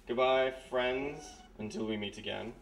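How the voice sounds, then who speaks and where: In an echoey room, a little off the mic, an actor plays a suave male Major for radio drama. His medium-pitched voice is formal, dry and courtly. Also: measured pace, gravelly, background noise. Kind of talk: live 1930s-style radio mystery acting. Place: live stage performance in historic house. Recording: echoey live stage, slightly distant.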